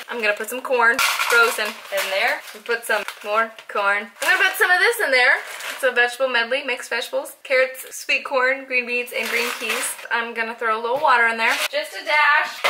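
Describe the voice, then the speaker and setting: A woman's voice: on the high side, reverberant, noisy background. A young woman in her twenties chatting playfully to the camera in a casual vlog while cooking in her apartment kitchen. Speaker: vlogger. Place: small apartment, living room and kitchen. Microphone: handheld camera at arm's length.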